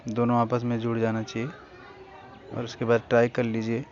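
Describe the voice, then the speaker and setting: A man's voice: mid-range voice, completely flat delivery, moderate pace, a little mellow, some chatter behind. An Indian man giving a casual hands-on repair tutorial, speaking informally and instructing viewers directly. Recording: dull lo-fi recording with faint noise.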